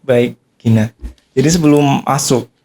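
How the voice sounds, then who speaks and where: A man's voice: medium pitch, measured pace, gravelly. Polite young man. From Tegal, Central Java. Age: young adult. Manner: polite, steady, agreeable answers. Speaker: cadet guest. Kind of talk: casual podcast interview.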